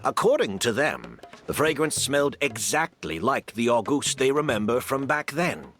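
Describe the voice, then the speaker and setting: A man's voice: medium pitch, sing-song, normal speed, a bit bright, faint music behind. A man playing a modest, gracious older master perfumer and mentor in a video game. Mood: explaining. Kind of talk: video game character acting.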